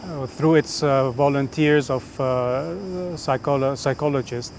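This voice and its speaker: A man from Lebanon, a committee member of a community organization, giving an interview in a steady voice with frequent pauses. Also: mid-range voice, slight background noise. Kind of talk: interview testimony.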